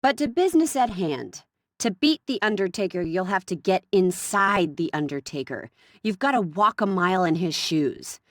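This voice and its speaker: Actress as low, animated, directive businesswoman. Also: moderate pace, no room sound, studio quality, lightly acted. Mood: businesslike. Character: businesslike woman coaching a wrestler with challenges. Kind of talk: video game story cutscene acting.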